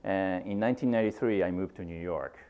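A middle-aged man from Japan with a Japanese accent, giving a university lecture as a guest filmmaker. He speaks in halting phrases with many fillers and restarts, standing in a lecture room. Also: low voice, warm tone, highs cut off.

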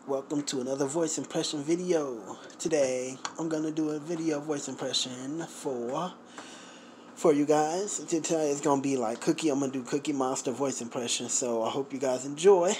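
A man's voice: fairly high pitch, moderate pace, a little mellow, slight background noise. A young man chatting casually to viewers as a vlogger, recorded on a phone at arm's length in a kitchen. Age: young adult. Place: small home kitchen.

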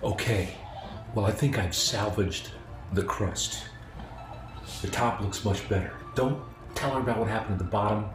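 A man's voice: on the low side, normal speed, pauses often. A middle-aged man hosting a home cooking show, talking casually and self-deprecating as he works. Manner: self-deprecating, chatty, mock-nervous asides. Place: home kitchen, indoors. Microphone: far camera on the counter. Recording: roomy kitchen echo, distant mic, music behind.

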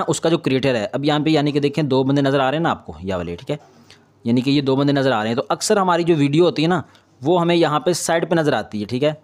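Male tutorial host, medium pitch, informal and instructional, checking in often as he explains each step. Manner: repetitive confirming tags, instructional. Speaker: video editing tutorial host.

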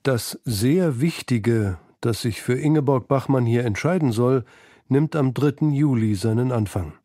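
A man's voice: medium pitch, a little slow, warm tone, no room sound, clean studio sound. A man reading scripted narration for a literary documentary feature.

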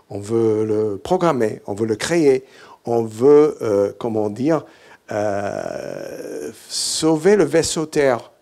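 A man's voice: medium pitch, warm tone. A middle-aged man from English-speaking Canada, speaking French with an English Canadian accent in a hesitant conference talk full of fillers, on a hall stage with a handheld mic. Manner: hesitant, many "euh" fillers and restarts. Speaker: keynote speaker.

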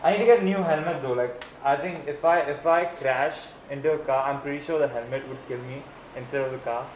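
A man's voice: mid-range voice, a little fast, background noise. A young man, chatting casually as a friend on a vlog. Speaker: vlogger's friend. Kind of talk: casual chat. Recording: echoey, music behind. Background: music.

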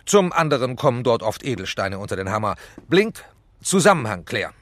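Clipped, commanding SWAT leader. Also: male voice, mid-range voice, sing-song, brisk, fairly bright tone, no echo. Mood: brisk command. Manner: clipped, formal orders. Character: terse, commanding police SWAT team leader, corporal. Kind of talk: German children's audio drama acting.

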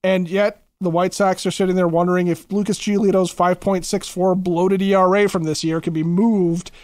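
A man's voice: high voice, little pitch movement, normal speed, a little mellow, no echo. A man co-hosting a sports podcast, giving casual, emphatic commentary and repeating phrases for emphasis. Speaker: podcast co-host.

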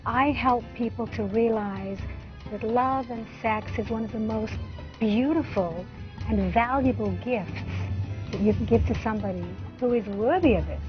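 A woman's voice: fairly high pitch, moderate pace. A woman relationship expert answering in an interview, earnest in tone. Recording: dull lo-fi TV sound with music.

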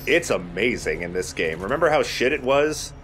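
A man's voice: mid-range voice, sing-song, normal speed, fairly bright tone, slight background noise. A man giving casual commentary as he plays and hosts a video game let's play. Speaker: gaming let's play host, player.

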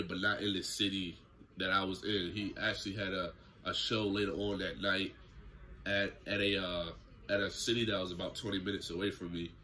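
A young man telling a story to camera in a relaxed, slangy way from a small room at home. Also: deep voice. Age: young adult. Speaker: YouTuber. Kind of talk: casual story time vlog. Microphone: camera close in front, seated.

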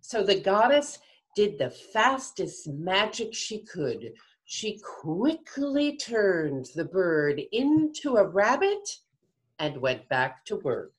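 Slow, very lively older woman storyteller. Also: medium pitch. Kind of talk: scripted storytelling for children. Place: home room, indoors. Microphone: video call computer mic.

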